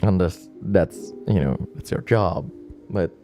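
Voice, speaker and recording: A man's voice: low-pitched, slow, dark tone, faint music behind. A man chatting casually and rambling on a late-night stream, with many restarts and laughs. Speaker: virtual streamer.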